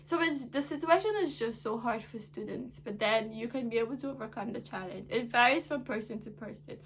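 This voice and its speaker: A woman's voice: fairly high pitch, brisk, slight background noise. A young Ghanaian woman giving earnest, emphatic advice to camera in a vlog. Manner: earnest, animated, rising to emphatic exhortation. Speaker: vlogger.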